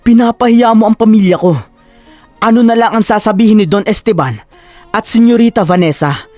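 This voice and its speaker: A woman puts on a cold, proud husband in an audio drama. The voice is dropped lower, stern and reproachful, with faint music underneath. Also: fairly quick, darkish tone, natural voice. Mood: angry, ashamed. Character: cold, ashamed, status-obsessed husband, adult man.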